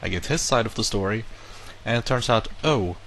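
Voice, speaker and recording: A low-pitched man whose first language is not English talks casually to his viewers, rambling through a personal story and stopping to reword himself. A faint hum of room ambience sits under his voice. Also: moderate pace. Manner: rambling, self-correcting, animated retelling. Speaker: vlogger. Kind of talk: casual monologue to camera.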